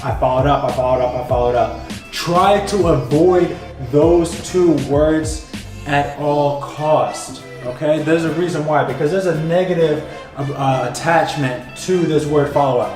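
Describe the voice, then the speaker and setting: A man's voice: a little high, a little slow, a little mellow, roomy sound, soft background music. A young man with a stuffy voice from a cold, energetically teaching at a whiteboard and checking in with "okay?" as he goes. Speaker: business coach. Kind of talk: whiteboard tutorial. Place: bright office by a whiteboard.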